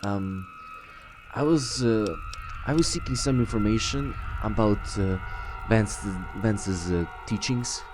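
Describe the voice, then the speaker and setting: A man with a lowish voice plays through a roleplay game, talking in character and reading text aloud. He is slow and halting, with music behind him. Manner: hesitant, halting. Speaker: gaming video host, roleplaying as the player.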